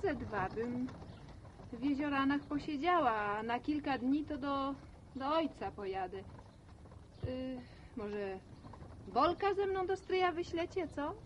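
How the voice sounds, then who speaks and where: An actress playing a lively, meddling woman visiting village relatives in a radio drama, chatty and inquisitive. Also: high voice, a little slow, dull audio, a little hiss or hum. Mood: hesitant.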